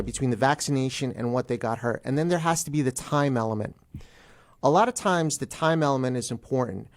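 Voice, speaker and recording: Male, American, mid-range voice. Radio guest attorney, explanatory, hesitant, corrects himself as he goes. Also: medium pitch. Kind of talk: radio interview, explaining in plain terms.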